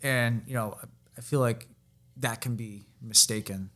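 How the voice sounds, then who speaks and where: A man's voice: medium pitch, fairly even intonation, measured pace, a bit bright. Brief: male podcast host, mid-range voice, conversational, asks questions. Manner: interjects short agreements, asks questions.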